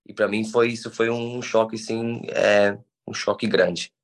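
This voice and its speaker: Guest, male, 30s, São Paulo accent, lowish voice, halting and patient. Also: level tone. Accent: São Paulo Brazilian Portuguese. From Brazil. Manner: hesitant, restarts words, explains patiently. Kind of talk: conversational interview.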